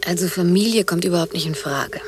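A woman's voice: fairly low pitch, quick pace, background noise, lightly acted. An actress playing a cool, self-reliant younger woman in a German radio drama, dry, blunt and dismissive. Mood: defiant.

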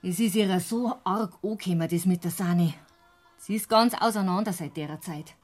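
A woman's voice: lowish voice, normal speed, a little mellow, close to an everyday voice. An actress playing a plaintive, grieving middle-aged farm woman with a Bavarian accent in a radio crime drama. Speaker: farmer's wife.

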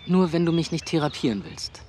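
Guy doing a cynical young writer, dry and sardonic, slightly high voice, faint hiss, radio drama. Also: lively intonation, a little fast, darkish tone, lightly acted. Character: cynical young would-be novelist.

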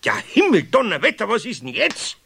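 An older Bavarian craftsman in a 1970s radio play, grumpy and easily flustered. His gravelly voice sits high for a man and swings about as he mutters and scolds. The old tape has faint noise. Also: wildly swooping pitch, fairly quick, pushed and bright, heavily acted. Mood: muttering, baffled. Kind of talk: 1970s German children's radio play acting.

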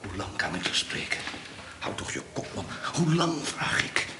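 An actor playing a desperate, devoted man, urgent and breathy, in a radio drama. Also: low-pitched, big pitch swings, moderate pace, over loud music. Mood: agitated. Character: desperate, devoted man bribing his way to Belle.

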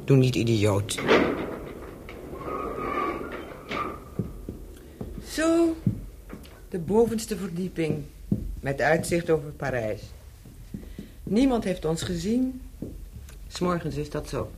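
A woman's voice: low voice, big pitch swings, slow, long pauses, background noise, heavily acted. An actress in a radio play as a worldly, flirtatious Parisian woman of the night, teasing and nonchalant, with a wry edge. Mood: wry, softer.

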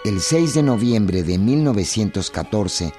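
A man giving measured, formal narration for a scripted historical radio drama. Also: fairly low pitch, expressive, dark tone. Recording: music behind the voice.

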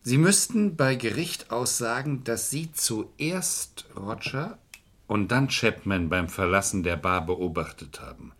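Calculating courtroom strategist, lowish husky voice, courteous, insistent, persuasive, vintage crime radio drama. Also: male voice, animated, slow, fairly bright tone, exaggerated voice. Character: shrewd, persuasive male defender, calculating and courteous. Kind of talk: German crime radio play acting. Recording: vintage radio play recording.

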